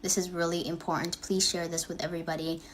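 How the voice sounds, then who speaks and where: A woman's voice: fairly low pitch, little pitch movement, fast. A woman earnestly pleading with listeners to watch out and share a warning. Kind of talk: spoken public appeal. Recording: clip with faint background noise.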